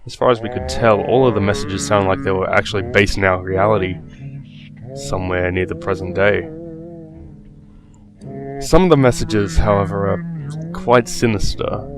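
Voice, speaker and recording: A man reading a scripted horror story as narrator, in a measured, explanatory way. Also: fairly low pitch. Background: music, guitar.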